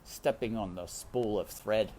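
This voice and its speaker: A woman's voice: very deep voice, measured pace, mellow, a little hiss or hum. A woman storyteller reading a children's book aloud expressively, with chatty asides.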